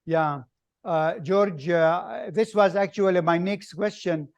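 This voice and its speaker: Slow, hesitant male host. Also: high voice, creaky, no room sound. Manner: slow, hesitant, many uhs. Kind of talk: video call interview.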